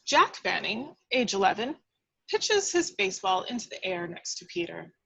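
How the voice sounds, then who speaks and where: A woman's voice: medium pitch, sing-song, normal speed, creaky, fairly bright tone, breathy edge, dull audio. A woman reading stage directions as the narrator of a script table read, in a dramatic storytelling style.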